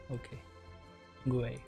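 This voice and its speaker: A game streamer giving casual reactions over a game, muttering short interjections and reading game text aloud. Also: extremely slow. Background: game music.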